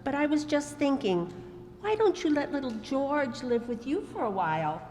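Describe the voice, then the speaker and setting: A woman's voice: sits high, sing-song, normal speed, a little mellow. A woman voicing a meddlesome sister who coaxes and schemes in a live radio play. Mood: coaxing. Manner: coaxing. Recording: live stage reverb with faint music.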